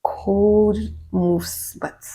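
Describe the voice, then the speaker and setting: A woman from Ghana with a Ghanaian accent, talking calmly and at a measured pace to her channel's viewers in a casual question-and-answer video. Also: mid-range voice, low-quality recording. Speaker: YouTuber. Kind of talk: casual get-to-know-me Q&A.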